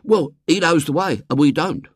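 Man putting on a scornful, high-voiced working-class boy. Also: normal speed, slightly rough, no room sound, clean studio sound. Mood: blunt, offhand. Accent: working-class English. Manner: offhand, disdainful. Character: scornful, blunt poor boy in a big cap.

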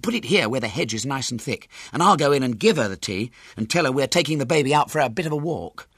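A man voicing an aggrieved, anxious, excitable young schoolboy in a storybook reading, indignant and emphatic. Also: medium pitch, fast, breathy edge, dry recording, studio quality, voice pushed up.

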